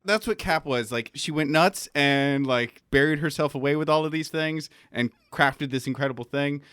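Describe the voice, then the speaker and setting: A man's voice: fairly high pitch, moderate pace, fairly bright tone, clean tone, no room sound, studio quality. A man talking casually on a panel as a game master and writer, telling stories with asides in a self-deprecating way.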